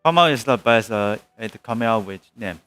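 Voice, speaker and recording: A man's voice: on the low side, animated, moderate pace, fairly bright tone. Casual male game streamer. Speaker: live streamer. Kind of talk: casual game livestream commentary. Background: game music.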